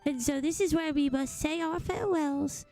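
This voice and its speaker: A woman's voice: high-pitched, moderate pace, dark tone, close to an everyday voice. A woman voicing a sweet, polite alien traveler in a video game, speaking with earnest formality. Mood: grateful. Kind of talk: video game dialogue read-aloud. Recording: faint music behind.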